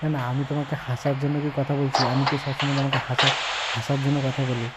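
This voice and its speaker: Young man, insistent repeated questioning, flat intonation, hissy clicking recording. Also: medium pitch, fairly quick. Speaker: caller. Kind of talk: casual phone argument. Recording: noisy hiss with clicks.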